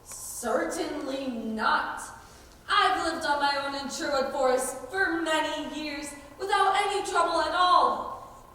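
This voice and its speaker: A teenage girl playing a feisty, indignant, independent nun with an emphatic, sarcastic delivery in a high school stage play. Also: slow, bright, forceful tone, exaggerated voice. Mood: indignant, haughty. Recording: distant, echoey hall with background noise.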